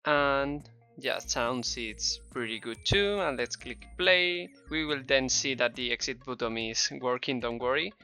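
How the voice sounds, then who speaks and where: A man's voice: mid-range voice, unhurried, few pauses, pushed and bright, clear, resonant tone, soft background music. A man with a Spanish accent narrating a step-by-step game development tutorial in a friendly, encouraging way. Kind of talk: screen-recorded tutorial narration. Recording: dull, slightly lo-fi close mic.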